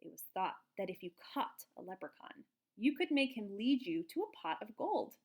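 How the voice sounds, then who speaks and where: A woman reading a picture book aloud to young children in an expressive, explanatory way, like a teacher. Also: medium pitch, moderate pace. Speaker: children's storybook reader, teacher.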